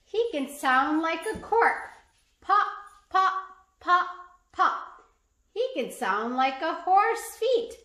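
Woman, high lively voice, unhurried children's read-aloud style, sing-song delivery, echoey room. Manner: sing-song, expressive, acting out sound words. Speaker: parent or teacher reading aloud and asking questions. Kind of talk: animated children's picture-book read-aloud.

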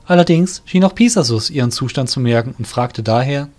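A man's voice: mid-range voice, animated, a little fast, a little mellow. A man narrating a scripted fantasy audio drama.

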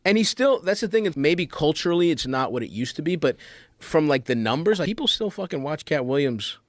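A man in his thirties, a comedian guest on a podcast, talking in casual, animated chat, swearing often and gushing with enthusiasm. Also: a little high, a little fast, no room sound. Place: studio with red curtain. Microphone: studio mic, wearing headphones.

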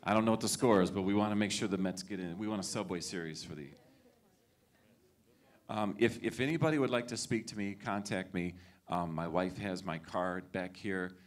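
A man's voice: low voice, normal speed. A middle-aged man, a school superintendent, addressing parents at a meet-and-greet in a library auditorium on a handheld mic, reassuring and checking in with "okay?" and "right?". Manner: reassuring, with frequent tag questions. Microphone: handheld microphone.

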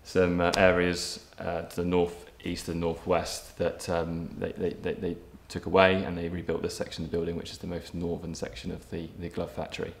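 A man in his thirties, a property developer giving a documentary interview, speaking in a measured way with frequent ums and restarts. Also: low-pitched, monotone, vocal fry, a little hiss or hum. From England. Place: empty brick factory room, indoors, large. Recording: echoey empty room, faint ambience.

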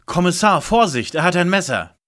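A man's voice: high-pitched, big pitch swings, normal speed, fairly bright tone. A man playing a smug, precocious schoolboy detective, pompous and over-polite, in a parody audio play. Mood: alert.

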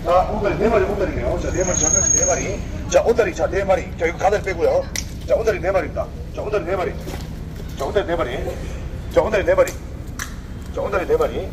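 High-pitched chanting auctioneer man. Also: brisk. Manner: loud sing-song chant, repeating prices and counts. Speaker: fish auctioneer. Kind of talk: rapid repetitive auction calling. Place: covered port fish auction floor. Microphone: phone camera from a distance. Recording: echoey hall from far off, loud harbor noise.

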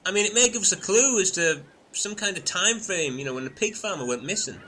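A man's voice: high voice, expressive, brisk, pushed and bright, a little hiss or hum. A man in comic banter, sarcastic and sweary, prone to ranting. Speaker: comedy podcast cast member.

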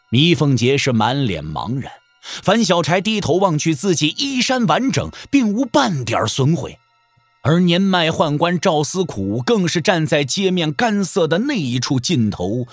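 Animated male storyteller, dry close sound. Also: fairly high pitch, no echo. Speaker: narrator of a wuxia audio drama. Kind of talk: scripted dramatic narration. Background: background music.

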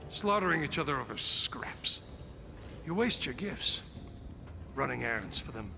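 An actor playing a menacing god-like man in a video game, gravelly and slow, full of disdain. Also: mid-range voice. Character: contemptuous, menacing god-like male superhuman. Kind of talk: dramatic video game cutscene acting. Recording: clear ambient noise.

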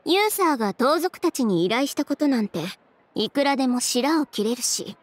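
Poised, sharp-tongued game heroine, high voice. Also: female voice, big pitch swings. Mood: composed, justifying. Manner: cool, haughty, deliberate sarcasm. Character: poised, sharp-tongued young woman, proud and unflappable. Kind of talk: Japanese game voice acting.

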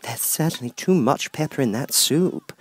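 A man's voice: mid-range voice, lively intonation, moderate pace, husky, pitched above the performer's own voice. A man voicing a polite, puzzled young girl in a storybook, timid and plaintive, easily exasperated. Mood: exasperated muttering.

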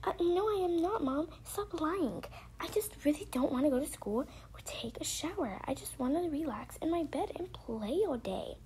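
A woman's voice: sits high, lively intonation, moderate pace, a little mellow, slight background noise, voice pushed up, rough recording. A woman voicing a whiny, defiant young daughter in pretend-play family roleplay, pleading and arguing. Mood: whiny defiance. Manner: whining, pleading, argumentative.

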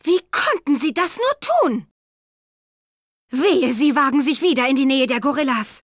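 An actress playing a spirited, polite young woman researcher in a video game, with expressive, emphatic delivery. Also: high-pitched, unhurried, no echo, studio quality. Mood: indignant. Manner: expressive, emphatic, well-mannered. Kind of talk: animated video game voice acting, dubbed in German.

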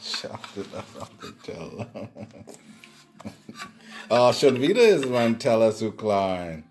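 A man's voice: fairly low pitch, expressive, slow pace, darkish tone. A man chatting and joking in his home kitchen while cooking, filmed on a phone, laughing often. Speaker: home video blogger. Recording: phone video with kitchen noise.

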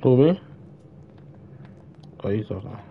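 A young man streaming a game, talking in an unhurried, slangy street style. The mic sounds dull, with faint noise behind him. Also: medium pitch, lively intonation. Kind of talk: casual live gameplay chat.

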